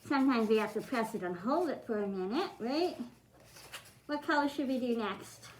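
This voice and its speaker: A woman's voice: a little high, animated, normal speed. A woman demonstrating a craft for children, giving simple step-by-step instructions in an encouraging way. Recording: roomy echo, clearly noisy, clicking.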